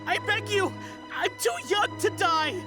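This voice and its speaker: A man's voice: very high voice, a little slow, music in the background. An actor voicing a jumpy young man terrified of a curse in a game, nervous and pleading. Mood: scared, pleading. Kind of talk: game voice acting.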